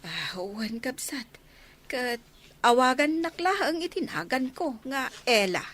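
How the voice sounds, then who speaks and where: A woman's voice: sits high, animated, measured pace, a bit bright, exaggerated voice. A woman with an Ilocano accent playing a plain-spoken, welcoming middle-aged village widow and mother in a comedy radio drama.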